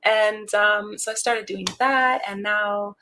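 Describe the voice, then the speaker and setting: A woman guest in a casual interview, talking about her work with a relaxing voice and an animated, laughing way of telling stories. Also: mid-range voice, slow pace, slight background noise. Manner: warm, animated storyteller who laughs often. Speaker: interview guest, voice actor and creative director.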